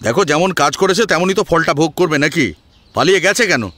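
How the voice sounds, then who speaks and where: A man's voice: a little high, expressive, brisk, sharp tone, pitched above the performer's own voice. A man playing a stern, angry elder brother in an audio drama, scolding and giving orders. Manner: scolding, commanding. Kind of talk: Bengali audio story acting.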